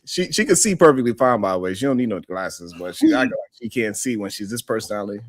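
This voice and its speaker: Slangy, laughing man with a lively voice. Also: fairly low pitch, fast, no room sound. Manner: laughs often, loose slangy storytelling. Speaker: live stream host. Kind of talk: casual chat.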